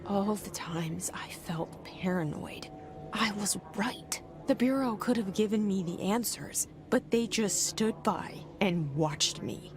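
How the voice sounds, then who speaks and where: A woman's voice: medium pitch, expressive, takes it slowly, over music. An actress playing a guarded, determined young woman, talking tersely and half to herself. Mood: tense. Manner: terse, guarded inner monologue.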